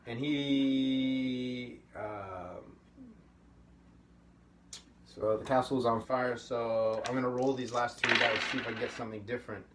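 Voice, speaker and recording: A man's voice: mid-range voice, steady tone, measured pace, long pauses, a bit bright. A middle-aged man hosting a puppetry workshop, talking casually and thinking aloud as he goes. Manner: easygoing, thinks aloud with fillers. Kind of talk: casual instructional talk. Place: home workshop room. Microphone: camera mic at a distance. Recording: slightly distant, faint hiss.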